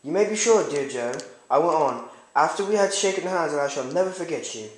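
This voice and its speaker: Guy playing proud young man narrating a classic novel puppet show, slightly high voice, formal, echoey room. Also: animated, a little fast, close to an everyday voice. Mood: earnest. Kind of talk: first-person scripted narration and amateur puppet show acting.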